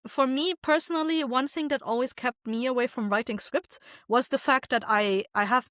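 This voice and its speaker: A woman's voice: high voice, normal speed, a bit bright, no room sound, clean studio sound. A woman chatting casually as a podcast guest, plain-spoken, informal and encouraging. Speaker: podcast guest, audio drama maker. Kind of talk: casual chat.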